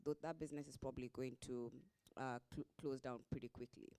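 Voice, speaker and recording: A woman with a Kenyan accent speaks as an investor on a workshop panel. Her voice is smooth and resonant. She lays out her lessons point by point and restarts a phrase now and then. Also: very deep voice, steady tone, fairly quick, warm tone. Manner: explains point by point, restarts, occasional laughs. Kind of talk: panel discussion answer.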